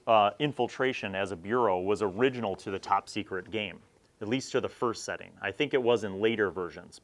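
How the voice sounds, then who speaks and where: Man, middle-aged, average pitch, conversational reviewer, enthusiastic, emphatic delivery. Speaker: host of a tabletop gaming review series. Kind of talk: casual review commentary.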